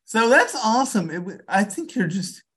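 A man's voice: sits high, big pitch swings, normal speed, fairly bright tone, husky. A man hosting a podcast interview, encouraging and repetitive, full of "like" and "you know". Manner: encouraging, repeats himself, lots of fillers. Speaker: podcast host. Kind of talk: casual interview chat.

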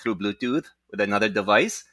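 A man speaking as a guest entrepreneur, telling his story conversationally with frequent halting asides. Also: lowish voice, animated, a bit bright, no echo, studio quality. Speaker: guest, tech entrepreneur and inventor.

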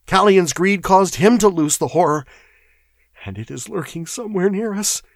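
A man's voice: sits high, animated, moderate pace, gravelly, dry recording, studio quality, voice pushed up. A man voicing a frightened, chattering male clerk full of eerie warnings in a fantasy audiobook. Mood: eerie dread. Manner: chattering, fearful, ominous.

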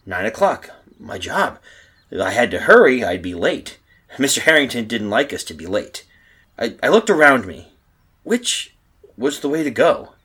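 A man acts out a bewildered, terrified hero telling his own radio horror tale. He stammers and breaks off dramatically, his husky voice swinging widely between dread and alarm. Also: mid-range voice, a bit bright. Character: frightened, bewildered man stranded in a nightmare world. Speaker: actor narrating and playing the lead. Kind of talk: old-time radio horror acting, first-person narration.

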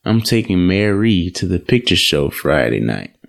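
A man's voice: sits low, sing-song, normal speed, creaky, a bit bright, heavily acted. A man playing a polite, playful young man from the in an audio drama. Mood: casual, firm. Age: young adult.

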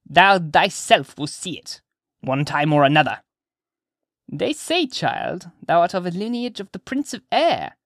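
A narrator voicing a sly, sinister old gentlewoman witch from a classic story, shrill and theatrical, with drawn-out archaic phrases. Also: animated, no echo, studio quality. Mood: sinister, coaxing. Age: older. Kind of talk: dramatised audiobook character reading.

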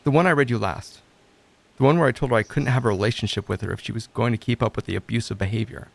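A man acting the part of a lonely, self-doubting recovery coach in an audio drama, halting and introspective. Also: medium pitch, creaky. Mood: sad, withdrawn.

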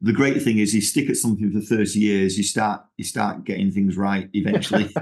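Gravelly low-voiced Manchester man. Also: steady tone, brisk, a little mellow. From England. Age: middle-aged. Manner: rambling, with many fillers and restarts. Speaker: ministry founder, guest. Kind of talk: conversational interview.

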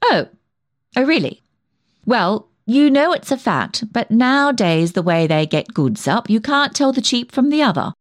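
A gossipy village dressmaker in a comic monologue. Her voice rises and dips in lively swoops, fussy, snobbish and full of sly insinuation. The sound is dry and close, with no room around it. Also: on the high side, a little slow, no echo. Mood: snide, insinuating. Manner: insinuating, chatty, self-important, fussy. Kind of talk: comic dramatic monologue reading.

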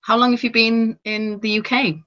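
A woman's voice: fairly high pitch, level tone, moderate pace, fairly bright tone, lo-fi sound. A middle-aged woman hosting a podcast in a conversational interview style. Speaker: podcast and radio host interviewing a guest.

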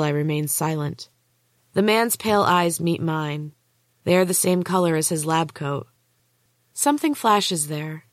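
Female audiobook narrator, lower register, close dry recording, measured suspenseful first-person storytelling. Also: no echo. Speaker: author and podcast host reading own book.

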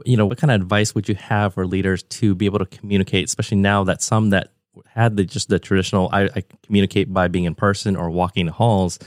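A man's voice: sits low, no echo, clean studio sound. A man of about forty hosting a podcast interview over a remote call, talking in a conversational, enthusiastic and discursive way. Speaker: podcast host.

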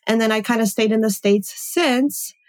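A woman's voice: on the high side, normal speed, a little mellow. A woman in her late twenties, a native Polish speaker, chatting upbeat as a guest about her life. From Poland. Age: 20s. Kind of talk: casual interview conversation.

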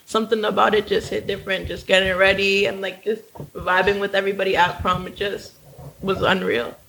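A woman's voice: medium pitch, flat delivery, a little fast, creaky, a bit bright, slight background noise, poor audio. A sixteen-year-old girl talking in a laid-back, slangy way. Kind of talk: casual group chat.

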